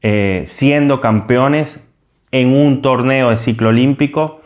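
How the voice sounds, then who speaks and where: A man's voice: medium pitch, takes it slowly. A man giving an enthusiastic, rambling football commentary, often repeating and restarting phrases. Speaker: football commentator and channel host. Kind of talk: casual sports commentary monologue.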